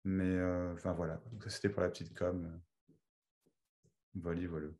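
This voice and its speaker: A man with a lowish voice hosts a relaxed online meeting, talking loosely and circling back on himself. He keeps restarting his sentences as he goes along. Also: moderate pace. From Belgium. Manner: rambling, with many restarts and fillers. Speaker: meeting host. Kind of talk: casual chat on a video call. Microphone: video call.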